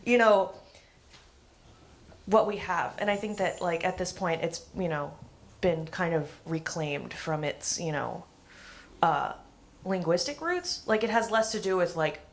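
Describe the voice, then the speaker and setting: A woman's voice: on the low side, lively intonation, a little slow, pauses often. A woman chatting casually in a group conversation, far from the mic. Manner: casual, agreeing, many fillers.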